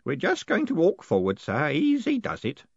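A man voicing an elderly, deferential postman in an audiobook, eager and conspiratorial, with a hoarse edge. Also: high voice, huge pitch swings, moderate pace, fairly bright tone, no echo, highs cut off, pitched above the performer's own voice, exaggerated voice. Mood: urgent, coaxing. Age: older. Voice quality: hoarse whispering. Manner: servile, punctuating speech with "sir".

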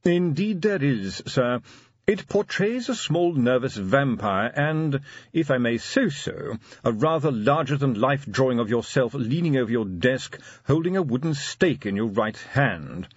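A man voicing a deferential, unflappable butler in an audiobook, precise, measured and politely dry. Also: medium pitch, expressive, slightly rough, no room sound, muffled recording, pitched above the performer's own voice. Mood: cautious, deadpan. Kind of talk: audiobook character acting.

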